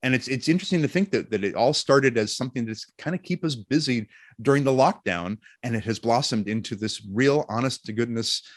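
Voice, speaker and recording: A man speaking as an interview guest and voice actor, telling stories in an expressive, jokey, self-deprecating way. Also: mid-range voice, fairly quick, no echo, studio quality. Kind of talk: animated interview storytelling.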